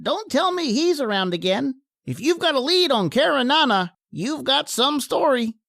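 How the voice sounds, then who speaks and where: A knowing newspaper clerk, acted by a woman, teases in a highish, very lively voice. It has the playful air of a vintage radio play and a dry, close sound. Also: moderate pace, gravelly, no echo, exaggerated voice. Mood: amused. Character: amused, teasing female newspaper records clerk. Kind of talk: old-time radio drama acting.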